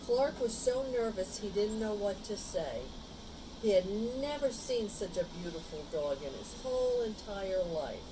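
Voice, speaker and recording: Slow-paced woman reading to children, noisy recording. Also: mid-range voice, lively intonation. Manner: explains pictures simply, checks in with questions. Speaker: storybook reader. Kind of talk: read-aloud story with chatty asides to young children.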